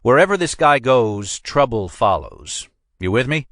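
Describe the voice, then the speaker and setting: A man voicing a brusque, blustering middle-aged police captain in an audiobook, speaking much higher and louder than his own narration. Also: sing-song, a little slow, no room sound, clean studio sound. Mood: pushy. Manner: brusque, pushy, emphatic.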